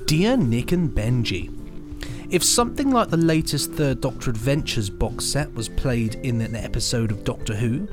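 A man co-hosting a podcast, chatting and reading aloud in a presenter's style. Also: medium pitch. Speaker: podcast co-host. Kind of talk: podcast chat and reading listener mail aloud. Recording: music bed behind. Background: clear music.

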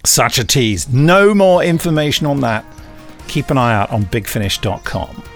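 A man's voice: mid-range voice, very animated, moderate pace, faint music behind. A man in casual, playful conversation and presenting news as a podcast co-host.

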